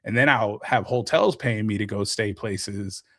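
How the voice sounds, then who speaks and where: A man's voice: lowish voice, a little slow. A man guest on a casual podcast, animatedly explaining things through gaming comparisons. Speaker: guest content creator.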